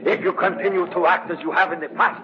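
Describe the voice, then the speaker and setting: A male actor playing a sinister, gloating Latin American guide and high priest, mock-courteous and menacing, in old radio drama style. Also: fairly even intonation, fast, a crowd in the background, natural voice. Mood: gloating menace. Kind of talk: 1950s radio drama acting. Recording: noisy, muffled old radio recording.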